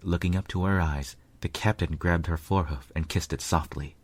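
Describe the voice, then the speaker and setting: A man narrating a story in the first person with a measured, earnest, dramatic delivery. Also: low-pitched, fairly even intonation, no echo.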